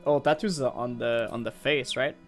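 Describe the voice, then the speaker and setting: A man's voice: medium pitch, fairly even intonation, normal speed. French-Canadian man streaming casually over music. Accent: Quebec French. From Montreal, Canada. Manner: hesitant, rambling, thinking aloud. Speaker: video game streamer. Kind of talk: casual live gameplay chat.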